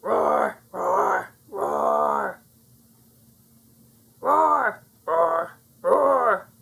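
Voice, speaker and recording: A woman playing a boastful, rowdy little red dinosaur in a children's storybook, with shouted, exclamatory roars. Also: fairly low pitch, huge pitch swings, very slow, vocal fry, pitched below the performer's own voice, exaggerated voice. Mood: rowdy, demanding.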